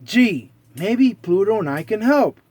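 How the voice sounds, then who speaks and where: A man's voice: sits high, sing-song, unhurried, a little mellow, no room sound, voice pushed up, heavily acted. A man voicing an eager, innocent cartoon mouse in a children's storybook, wide-eyed and polite.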